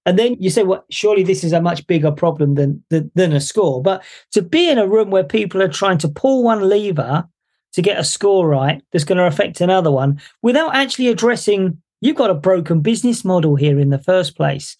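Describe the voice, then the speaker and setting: High, gravelly-voiced chatty male host. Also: expressive, fairly quick, a little mellow. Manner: rambling, self-correcting, laughs easily. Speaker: podcast host. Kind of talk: conversational interview.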